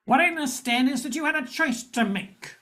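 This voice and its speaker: A woman's voice: high-pitched, lively intonation, brisk, fairly bright tone. Woman playing a wistful space-noir hero. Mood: hurt, alarmed. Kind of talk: amateur radio play acting and noir narration.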